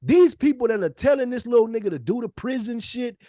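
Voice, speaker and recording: A man's voice: very high-pitched, animated, a little fast, warm tone, no echo, clean studio sound. A forty-year-old man co-hosting a podcast, debating in a blunt, slangy, insistent way with plenty of swearing. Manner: argues insistently with rhetorical questions. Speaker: podcast co-host. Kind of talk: heated casual podcast debate.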